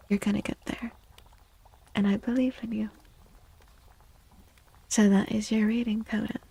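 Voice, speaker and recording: A woman speaks softly and slowly in a mellow, husky voice with plenty of fry. She drifts in and out of whispers as she chats gently in an ASMR livestream style. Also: medium pitch, no echo, rough recording. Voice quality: soft, husky, breathy. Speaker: live streamer and tarot reader. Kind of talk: soft-spoken ASMR livestream chat.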